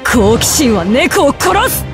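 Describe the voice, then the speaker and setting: A woman's voice: high-pitched, very animated, slow pace, over music, heavily acted. A woman voicing a courteous, gallant young male masked general in a video game, speaking in formal, noble martial declarations. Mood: spirited, commanding. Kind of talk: Japanese game battle voice lines.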